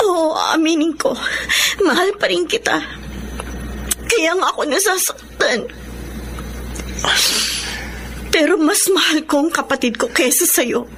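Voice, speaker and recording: Radio drama younger sister, young adult, high voice, emotional and pleading, devoted but wounded. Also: female voice, normal speed, fairly bright tone, slight background noise. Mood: resolute, pained. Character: hurt, tearful young woman student, devoted younger sister. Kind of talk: Filipino radio drama acting.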